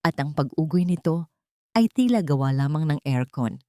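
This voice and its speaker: A woman's voice: low-pitched, expressive, normal speed, mellow, no echo, clean studio sound. A woman with a Filipino accent reading a dramatic scripted story as an audiobook narrator.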